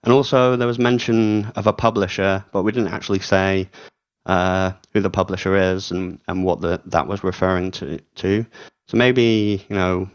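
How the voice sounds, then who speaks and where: A man's voice: low voice, fairly even intonation, normal speed. A man hosting a casual interview, asking long questions with plenty of fillers. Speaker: host. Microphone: remote voice chat. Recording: dull lo-fi audio.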